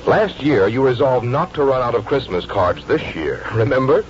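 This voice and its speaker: A man's voice: medium pitch, expressive, normal speed. An American man announcing and reading a scripted commercial in 1940s radio style. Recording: dull, faintly noisy 1940s radio recording.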